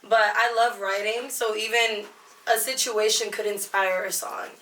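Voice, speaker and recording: A young woman from Virginia who grew up speaking both Spanish and English. She is a hip hop and R&B artist talking casually at a lounge venue, in a relaxed and upbeat way with plenty of slang. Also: mid-range voice, unhurried, recorded from a distance. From Alexandria, Virginia. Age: young adult. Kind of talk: casual interview. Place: busy lounge venue before a show. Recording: roomy venue sound, from off-mic.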